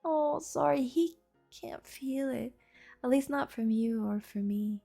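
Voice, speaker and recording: A woman acting an affectionate, playful young girlfriend in an intimate audio roleplay, speaking softly and chattily. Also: sits high, unhurried, gravelly, soft background music, full character voice. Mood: fond, amused. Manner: soft, tender, chatty with teasing asides.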